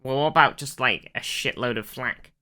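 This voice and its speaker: Clear, bright podcast co-host explaining casually. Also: medium pitch, moderate pace. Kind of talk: casual explanatory chat about a TV show.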